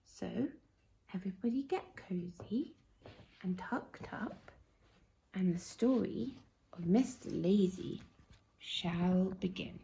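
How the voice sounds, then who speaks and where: Creaky-voiced woman reading a bedtime story. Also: medium pitch, animated, takes it slowly, pauses often, mellow, low-quality recording. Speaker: storybook reader. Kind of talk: expressive children's bedtime story narration.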